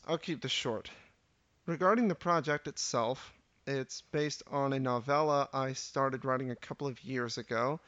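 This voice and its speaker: A man's voice: a little high, fairly bright tone, no room sound, highs cut off. A man in his thirties hosting a casual solo podcast intro, rambling and self-deprecating with lots of hesitation. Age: 30s. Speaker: podcast host.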